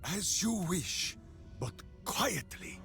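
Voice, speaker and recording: A hearty, earnest berserker from a fantasy game. His fairly high male voice swoops widely and takes its time over each bombastic, quaintly worded declaration. Music plays behind him. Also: big pitch swings, extremely slow, gravelly, a bit bright, exaggerated voice. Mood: earnest, jovial. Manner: grandiose, third-person heroic declarations with odd phrasing. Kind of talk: fantasy video game acting.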